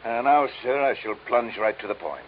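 A man's voice: mid-range voice, animated, normal speed, close to an everyday voice. An actor playing a pompous, falsely courteous male villain with florid, formal phrasing in an old radio drama. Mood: suavely courteous. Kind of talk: 1950s radio drama acting. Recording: hissy, dull old radio recording.

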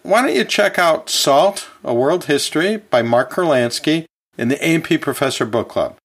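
A man's voice: fairly high pitch, animated, normal speed, fairly bright tone. A man hosting an educational podcast, talking like a chatty teacher in a conversational, folksy way with casual asides. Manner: chatty, chuckles often, self-correcting asides. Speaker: podcast host and anatomy and physiology teacher.

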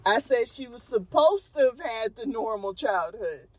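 A woman's voice: fairly high pitch, animated, a little slow, darkish tone, no echo, poor audio. A young woman with a Texan accent, casually telling a true crime story as a podcast co-host, laughing often. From Texas. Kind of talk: casual chat.

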